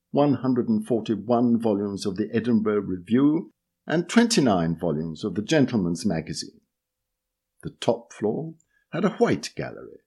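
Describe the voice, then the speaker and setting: Husky man reading with lively intonation. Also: mid-range voice. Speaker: reader of a magazine article. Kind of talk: measured read-aloud narration.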